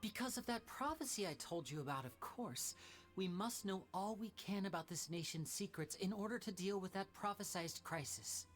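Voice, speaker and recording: Suave, earnest young magician. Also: male voice, high voice, lively intonation, normal speed, faint music behind. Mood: earnest, explaining. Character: suave young male stage magician, earnest, self-defending. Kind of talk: video game voice acting.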